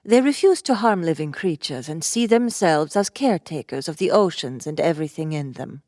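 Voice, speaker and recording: Cool, low-voiced warrior. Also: female voice, expressive, darkish tone, no echo, clean studio sound. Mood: grave, informative. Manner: formal, measured, matter-of-fact. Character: Evalia, cool deadly female warrior from distant seas. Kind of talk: cinematic audiobook acting.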